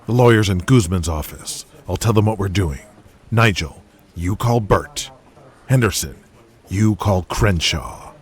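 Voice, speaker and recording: A man's voice: low-pitched, breathy edge. A man voicing a hard-driving, menacing wrestling company boss with a simmering temper, in an audio drama.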